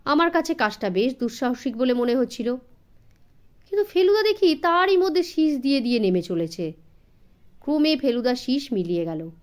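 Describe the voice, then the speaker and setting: A woman's voice: sits high, lively intonation, normal speed. A woman, narrating a story expressively as an audiobook for children.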